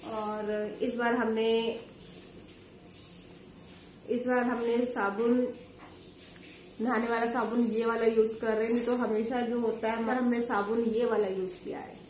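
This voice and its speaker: A woman's voice: a little high, little pitch movement, a little slow, long pauses, a little mellow. Chatty woman in an echoey room. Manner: chatty, explaining each item while handling it. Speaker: vlogger showing a grocery haul. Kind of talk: casual chat to camera. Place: home living room, indoors. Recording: echoey room with faint ambient noise.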